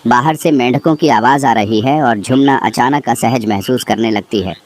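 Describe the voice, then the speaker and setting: A man's voice: medium pitch, moderate pace. A man reading a scripted horror story as its narrator, with insect and bird ambience behind him. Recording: clear background noise, nature ambience.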